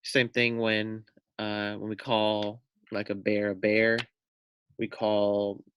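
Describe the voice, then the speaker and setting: A man's voice: lowish voice, monotone, slow, fairly bright tone, a little hiss or hum. A man reading a children's story aloud expressively, with simple explanatory asides for young listeners.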